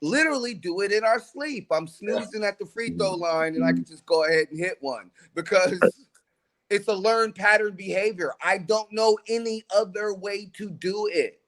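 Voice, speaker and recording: Man, 40s, high pitched for a guy, coach energy, emphatic and motivational; casual podcast chat. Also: normal speed, fairly bright tone, low-quality recording. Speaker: track coach and trainer.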